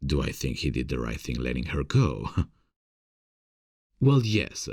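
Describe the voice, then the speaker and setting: A man playing a gentle, encouraging theater director who is secretly smitten, in a breathy, gravelly scripted ASMR audio roleplay. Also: rumbling low, big pitch swings, unhurried, dry recording, studio quality, full character voice. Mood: thoughtful coaching. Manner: gentle, encouraging, soft chuckles. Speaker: voice actor.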